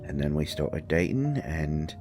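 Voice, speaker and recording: A grieving fairy husband, acted by a man, speaks in a deep voice at a slow, halting pace. He is gruff and defensive, wounded underneath, in the manner of an intimate audio drama monologue. Soft music plays behind him. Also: big pitch swings, heavily acted. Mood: wistful, grieving. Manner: halting, emotional, swinging from snappish outbursts to quiet murmurs.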